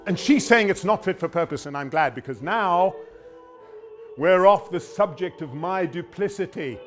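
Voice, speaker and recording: High-pitched, intense, troubled prosecutor. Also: male voice, lively intonation, faint music behind. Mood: defensive, agitated. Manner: intense, deliberate, with dramatic swells and drops. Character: troubled older male public prosecutor, dutiful, torn, defensive father. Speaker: actor in a dramatic monologue. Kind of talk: theatrical dramatic monologue.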